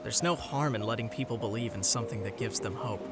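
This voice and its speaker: A man playing a young, adventurous alien historian in an animated film, earnest and hopeful in a reflective, conversational way. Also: on the low side, fast, natural voice. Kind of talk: animated film voice-over narration and dialogue. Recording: music under the voice.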